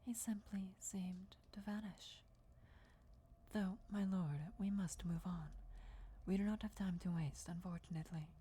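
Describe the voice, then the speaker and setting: A woman voicing an ancient, loyal vampire countess in a formal, deferential, measured whisper for fantasy ASMR roleplay. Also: medium pitch, fairly even intonation, dark tone, husky. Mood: solemn, secretive. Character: ancient female vampire countess, devoted herald's speaker, formal and reverent.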